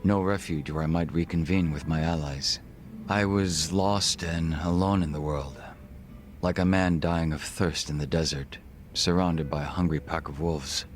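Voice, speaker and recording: Deep-voiced, refined gangster. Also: male voice, steady tone, soft background music. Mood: grave, reflective. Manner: formal, polite, measured, eloquent phrasing. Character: refined, reserved Korean gangster, a former body double. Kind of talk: video game cutscene acting.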